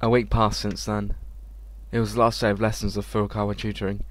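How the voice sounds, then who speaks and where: A man hosting a let's play, reading the game's script aloud haltingly with occasional asides. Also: lowish voice, level tone. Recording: game music under the voice. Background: music.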